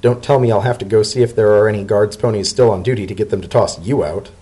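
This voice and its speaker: A man voicing a fussy, wry male pony civil servant in a story reading, with a dry, brisk and protective manner. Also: lowish voice, dark tone, breathy edge. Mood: weary, wry. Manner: dry, brisk, fussily caring.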